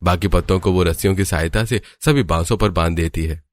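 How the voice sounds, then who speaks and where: A man's voice: sits low, fairly quick. A man narrating a scripted cartoon storybook tale as the narrator.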